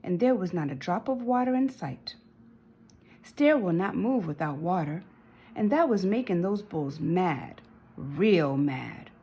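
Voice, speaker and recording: Female narrator for kids' picture book: highly animated pitch, medium register, a touch of rasp, emphatic and dramatic. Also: a little slow. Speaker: storybook narrator. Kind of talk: expressive children's read-aloud.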